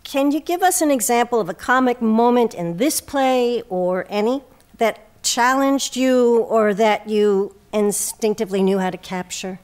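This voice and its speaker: A woman with a fairly high voice works as the host of a theatre show. She speaks in a presenter's manner, suited to interviews and announcements. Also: a little slow, darkish tone, no room sound. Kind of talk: hosted interview and scripted credits.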